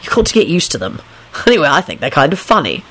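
A woman's voice: sits low, sing-song, fast talker, fairly bright tone, husky, voice pushed down, full character voice, low-quality recording. A woman voicing an amiable, wry and unruffled British man in a story, with a pleasant, teasing manner. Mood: reassuring. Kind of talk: dramatised story reading.

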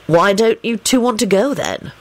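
A woman's voice: mid-range voice, animated, moderate pace, pitched above the performer's own voice, lightly acted. A woman voicing an earnest, polite, weary man who is secretly a werewolf, speaking plainly in a story reading. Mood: curious, resigned.

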